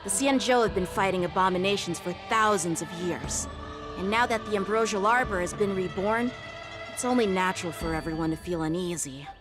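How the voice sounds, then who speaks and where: A woman's voice: medium pitch, brisk, close to an everyday voice. A woman voicing an earnest, dutiful young male soldier in a video game, polite and straightforward, over background music. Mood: uneasy, firm.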